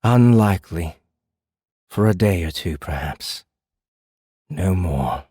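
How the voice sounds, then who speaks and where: Man voicing a slow, low, breathy fae prisoner. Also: expressive, no room sound, studio quality, exaggerated voice. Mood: measured, dismissive. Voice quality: smooth, hushed, breathy. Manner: deliberate, measured, formal. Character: caged male fae prisoner, menacing, slow, darkly courteous. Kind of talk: audiobook character acting.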